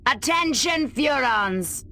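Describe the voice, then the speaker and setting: A woman's voice: high-pitched, lively intonation, takes it slowly, pushed and bright, heavily acted. A woman playing a haughty, defiant villain who leads a human resistance in a video game, speaking with theatrical sarcasm. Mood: taunting, sarcastic.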